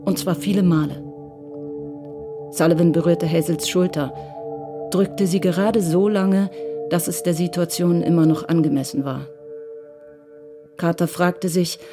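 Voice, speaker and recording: A woman reading scripted literary narration as the narrator of a radio drama. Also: on the low side. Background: music under the voice.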